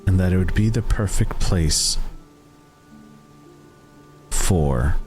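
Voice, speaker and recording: A man narrating a tabletop roleplaying game as game master, in a deliberate, suspenseful way with long dramatic pauses. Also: deep voice, lively intonation, darkish tone, faint music behind.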